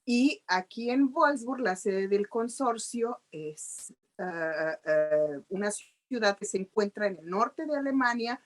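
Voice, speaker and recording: A woman's voice: medium pitch, animated, takes it slowly. Chatty Mexican woman guest. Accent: Mexican Spanish. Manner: chatty, explanatory. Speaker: guest journalist. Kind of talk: interview answers.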